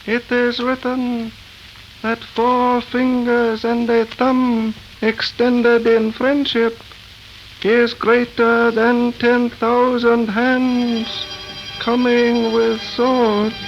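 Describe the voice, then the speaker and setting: An actor playing a solemn, wise ghost, intoning measured words in 1930s radio horror style. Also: male voice, very high-pitched, completely flat delivery, heavily acted. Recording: muffled, faintly hissing 1930s radio recording.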